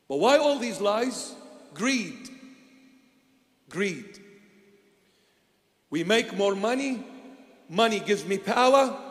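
Older iraqi-accented preacher, high voice, slow and drawn out, big echoey church sound. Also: male voice. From Iraq. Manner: emphatic, rhetorical questions answered himself, swings between loud and hushed. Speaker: bishop preaching. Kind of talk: sermon. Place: church altar, indoors. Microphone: handheld mic. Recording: long church reverb.

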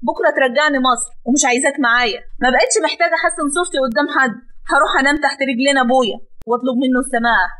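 A grief-stricken young Egyptian wife, voiced by a woman, her accusations pouring out fast and high. Her voice carries raw anguish and bitterness. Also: a bit bright, poor audio. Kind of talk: Egyptian audio drama.

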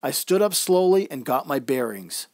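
A man's voice: fairly high pitch, lively intonation, moderate pace, darkish tone, dry recording, studio quality. A man reading a scripted first-person story as narrator, in the manner of old-time radio drama.

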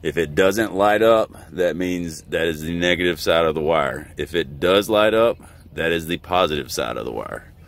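Deep-voiced American man in a truck cab. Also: normal speed, gravelly. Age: middle-aged. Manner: informal, plain-spoken. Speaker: truck driver and vlogger. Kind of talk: casual how-to vlog. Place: inside a semi truck cab. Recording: background noise in truck cab.

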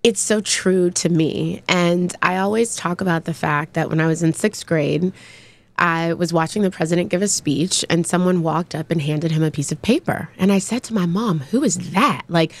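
A bubbly, animated woman from Texas in her forties, chatting as a podcast guest and laughing easily. Her voice sits fairly low for a woman. She talks into a desk mic in a small studio. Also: normal speed. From Texas, USA. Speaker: podcast guest, brand founder. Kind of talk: casual interview chat.

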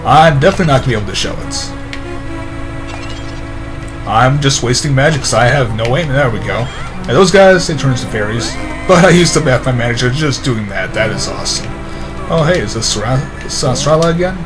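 A man gives casual video game commentary at an ordinary mid pitch. He sounds tired and a touch hoarse, with a wry, self-mocking manner. Game music runs clearly behind him. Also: expressive, fairly quick. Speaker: let's play commentator.

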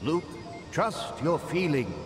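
A man voicing a wise old Jedi mentor in an animated game cutscene, solemn and guiding. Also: on the high side, takes it slowly, loud music behind the voice. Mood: serene. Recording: loud film score over the voice.

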